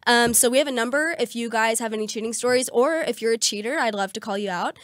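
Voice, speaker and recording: A young woman with a slightly high but raspy voice, hoarse from getting over a cold. She chats casually, laughs easily and fills her talk with "um" and "like". The sound is dry and close. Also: fast talker, fairly bright tone, no echo. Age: young adult. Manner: giggly, many fillers. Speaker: podcast co-host. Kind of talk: casual chat.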